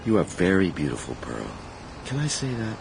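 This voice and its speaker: An actor playing a flamboyant, ambitious travelling salesman in a period radio drama, self-assured and flattering. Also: sits low, moderate pace, gravelly, over music, exaggerated voice, poor audio. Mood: charming, courting. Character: Beck Tull, flamboyant, ambitious travelling salesman, smooth-talking suitor.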